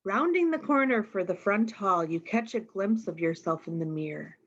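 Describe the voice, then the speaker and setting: A woman's voice: medium pitch, lively intonation, moderate pace, highs cut off. A woman reading a picture book aloud to young children, expressively and addressing them directly with questions. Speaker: storytime reader.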